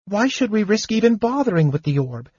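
A small, fidgety male kender chatters in a thin, high voice, put on by a man reading aloud. He stammers and rushes his words. The audiobook sound is a bit dull and dry. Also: very animated, a little mellow, breathy edge, dry recording, exaggerated voice. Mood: worried.

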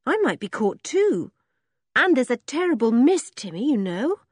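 A woman playing an anxious, gentle young girl. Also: high voice, big pitch swings, moderate pace, breathy edge, no room sound, clean studio sound, pitched above the performer's own voice, full character voice. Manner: anxious, pleading.

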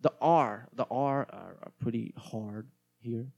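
Gravelly-voiced Brazilian man rambling casually. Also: medium pitch, slow, darkish tone, lo-fi sound. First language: Portuguese. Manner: hesitant, rambling, many restarts. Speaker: podcast host. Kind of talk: casual solo podcast monologue.

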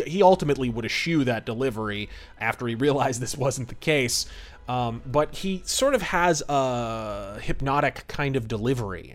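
Man, podcast host, medium pitch, casual history commentary, conversational, wry.

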